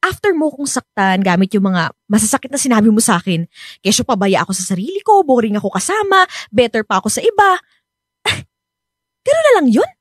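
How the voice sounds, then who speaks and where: A woman playing a caring but firm high school teacher in a radio drama, speaking expressively. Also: a little fast, dry recording, studio quality. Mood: angry, firm. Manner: expressive, mixing Tagalog and English. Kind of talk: Filipino radio drama acting. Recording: music behind the voice.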